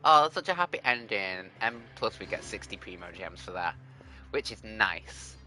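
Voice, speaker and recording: A man's voice: on the low side, expressive, normal speed, sharp tone, a little hiss or hum, rough recording. A man streaming a video game, giving casual live commentary.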